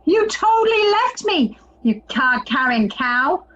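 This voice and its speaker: Lady acting as bitter sarcastic sister, high voice swinging all over, slightly dull recording. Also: sing-song, takes it slowly, a bit bright, full character voice. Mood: hurt, furious. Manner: accusing, sarcastic, emphatic. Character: bitter, aggrieved, sarcastic woman quarrelling with her sister. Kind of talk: audio play acting.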